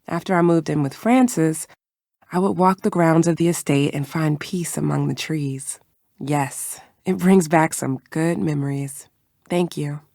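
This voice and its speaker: A woman's voice: low-pitched, a little slow, creaky, warm tone, no echo, clean studio sound, full character voice. An actress playing a reflective, wistful woman in a video game, speaking with nostalgic reserve. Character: reflective, wistful adult who grew up near forest.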